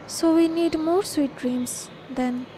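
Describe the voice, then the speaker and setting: A woman's voice: sits high, slow, noisy background. A woman with an Indian accent reacting casually as she streams a game.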